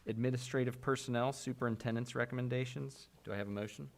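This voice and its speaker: A man chairing a school board meeting, moving through procedure in a relaxed, rambling way with fillers. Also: mid-range voice, quick pace, vocal fry.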